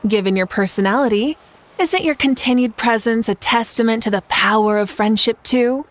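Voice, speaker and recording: A woman playing a composed, commanding leader in a fantasy game, speaking in a measured, assured way. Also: fairly high pitch, close to an everyday voice. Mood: composed authority. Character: composed, commanding adult woman leader, amused yet dutiful. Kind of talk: animated fantasy game acting.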